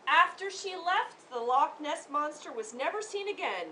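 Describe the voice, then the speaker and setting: A woman reading a children's story aloud in an expressive, theatrical way, pausing to engage her listeners. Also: sits high. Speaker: author reading to a group of children. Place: room with children responding. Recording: distant microphone, noisy room.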